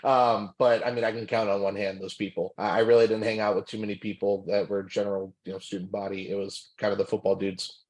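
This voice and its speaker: Young man, rapid talker, lowish voice, candid, filler-heavy casual chat, former lineman from California. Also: rough recording. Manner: relaxed and candid, many fillers and restarts, laughs often. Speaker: podcast guest, former college football lineman. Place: home room.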